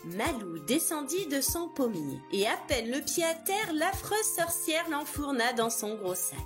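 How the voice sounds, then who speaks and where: Animated high-voiced woman storyteller. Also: unhurried. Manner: expressive, dramatic storytelling. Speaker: children's storyteller. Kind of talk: scripted fairy-tale narration. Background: music behind the voice.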